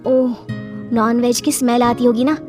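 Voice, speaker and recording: A woman voicing a timid young newlywed bride in a cartoon, her voice jumping high and breathless with fright. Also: fairly even intonation, normal speed, over music, lightly acted. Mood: curious. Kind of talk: cartoon voice acting.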